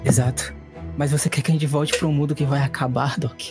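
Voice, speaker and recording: A man's voice: a little high, little pitch movement, a little fast, over music, natural voice. A man playing a firm cowboy from the future in a tabletop roleplay audio drama, emphatic and questioning. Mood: objecting. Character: Billy Boy, firm, objecting cowboy from the future.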